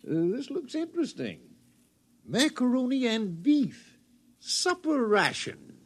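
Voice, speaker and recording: An actor playing a huge, nervous, food-loving scientist with fussy, hesitant, wordy speech in a 1950s radio drama. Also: male voice, extremely high pitch, huge pitch swings, takes it slowly, exaggerated voice. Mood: fussy, distracted. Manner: fussy, hesitant, pompous wordiness.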